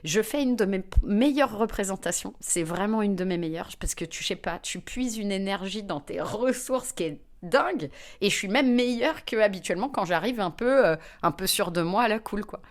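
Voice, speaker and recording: A woman comedian, a guest in an interview, telling lively personal anecdotes with frequent fillers. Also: medium pitch, moderate pace. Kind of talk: anecdotal storytelling in conversation.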